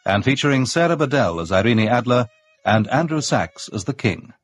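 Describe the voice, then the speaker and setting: A man's voice: medium pitch, lively intonation, normal speed. Male announcer over music. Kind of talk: scripted radio drama credits.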